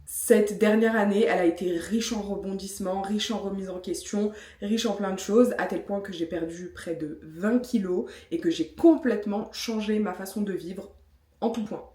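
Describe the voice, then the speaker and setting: A woman's voice: medium pitch, normal speed, warm tone. Chatty French woman in an echoey room. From France. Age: 30s. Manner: chatty, self-mocking, many fillers. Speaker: vlogger. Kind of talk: casual vlog talk with product review.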